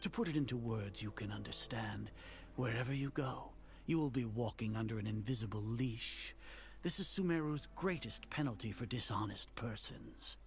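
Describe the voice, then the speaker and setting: A man's voice: medium pitch, creaky, lightly acted. Pompous, haughty older sage, acted by a man. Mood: cold, condescending. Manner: pompous, formal, deliberate diction. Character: haughty, fanatical older male grand sage, coldly scheming. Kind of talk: dramatic video game voice acting. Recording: game dialogue over music.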